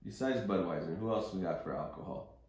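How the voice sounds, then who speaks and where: A man's voice: low voice, brisk, a little mellow. A man hosting an investing conference call, giving informal, opinionated market commentary off the cuff in blunt, coarse everyday language. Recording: very roomy, echoing sound.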